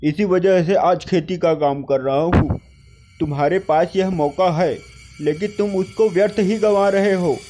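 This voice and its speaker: A man's voice: high-pitched, unhurried. A man voicing an earnest elder brother, a village farmer, in cartoon dialogue. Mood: earnest, chiding. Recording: music behind the voice.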